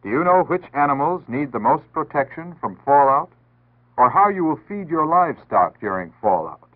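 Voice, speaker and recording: A man's voice: on the high side, big pitch swings. Tinny 1960s American male announcer. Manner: earnest, instructive, measured formal delivery. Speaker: public service announcer. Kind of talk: 1960s scripted civil defense announcement narration. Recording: tinny band-limited 1960s radio-style archive audio.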